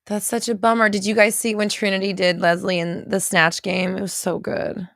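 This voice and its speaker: A woman in her thirties hosting a casual livestream, reading viewer comments aloud and reacting with quick laughs and exclamations. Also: mid-range voice, level tone, gravelly, fairly bright tone, no echo, clean studio sound. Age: 30s.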